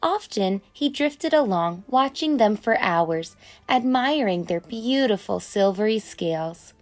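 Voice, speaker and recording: A woman reading a children's picture book aloud as an expressive storyteller. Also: mid-range voice, unhurried. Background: music.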